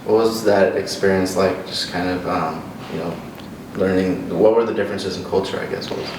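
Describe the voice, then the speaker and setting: A man's voice: low-pitched, steady tone, moderate pace. A man interviewing a guest, asking questions in a hesitant way with many fillers. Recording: distant, roomy, noisy.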